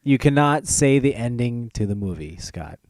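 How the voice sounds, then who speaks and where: A man's voice: medium pitch, expressive, moderate pace, a little mellow, no echo, studio quality. A man hosting a podcast, bantering casually about films in a teasing, opinionated way. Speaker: podcast host.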